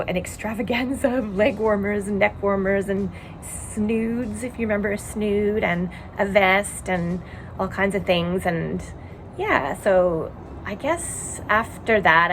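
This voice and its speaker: A Canadian woman in her forties chats about her crafts in a relaxed, rambling way, filling pauses with ums and uhs. Her voice sits on the lower side for a woman. A steady hum runs clearly behind her home recording. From Montreal, Quebec, Canada. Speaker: knitting podcast host. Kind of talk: casual chat. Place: home in a tiny house.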